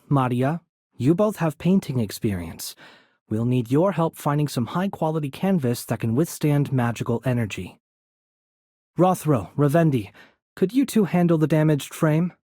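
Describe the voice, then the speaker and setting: Young noble mage, video game, gentle husky softness, relaxed tone, courteous, composed, formal. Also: male voice, fairly high pitch, no echo, clean studio sound. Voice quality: soft-spoken, slightly breathy. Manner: polite, measured, calm, formal. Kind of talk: video game character voice acting.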